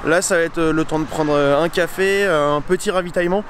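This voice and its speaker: A man reporting on the road in a calm, matter-of-fact way, outdoors beside a busy road. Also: fairly high pitch, brisk. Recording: loud traffic noise outdoors. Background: passing cars.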